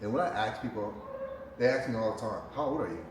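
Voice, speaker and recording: A man in his thirties hosting a casual interview, animated and slangy, laughing often. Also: fairly low pitch, fast talker. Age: 30s. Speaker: host. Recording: echoey room, far from mic, noisy.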